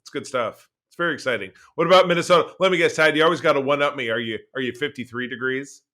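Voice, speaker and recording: A man's voice: medium pitch, quick pace, pushed and bright. An American man in his forties co-hosting a podcast, chatting casually in an exuberant, joking, rambling way. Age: 40s. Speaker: podcast co-host. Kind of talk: casual chat.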